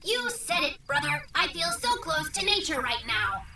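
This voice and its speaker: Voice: high voice, big pitch swings, moderate pace, echoey room, distant mic, crowd noise. An actor voicing a chatty, boastful cartoon navigation robot that pedantically announces directions like a GPS guide. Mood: chatty, pleased. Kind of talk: children's cartoon voice acting.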